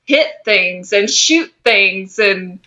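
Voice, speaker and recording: A woman's voice: medium pitch, expressive, drawn-out words, sharp tone. A young woman co-hosting a podcast with casual, wry, teasing banter. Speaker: podcast co-host.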